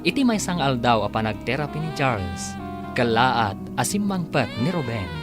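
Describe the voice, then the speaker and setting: A man's voice: mid-range voice, sing-song, normal speed. A man with a Filipino Ilocano accent delivering dramatic scripted narration as a radio drama announcer. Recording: music bed behind the voice. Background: music.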